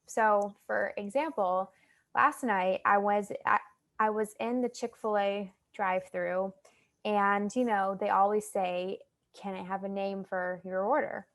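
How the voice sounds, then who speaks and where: A young woman with an American accent, a guest talking about her own experiences in a relaxed, chatty way with many fillers. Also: mid-range voice, a little slow. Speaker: guest, stuttering advocate. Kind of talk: casual interview chat.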